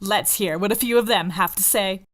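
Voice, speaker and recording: A woman's voice: medium pitch, moderate pace, a bit bright, close to an everyday voice. A woman acting as an old-time radio announcer, reading a sponsor message in a polished, presentational way. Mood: promotional.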